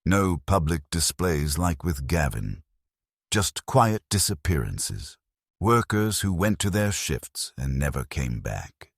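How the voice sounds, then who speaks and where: A man narrating a scripted, atmospheric story in a somber, grave, gravelly voice. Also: a bass voice, very animated, takes it slowly, no room sound, clean studio sound.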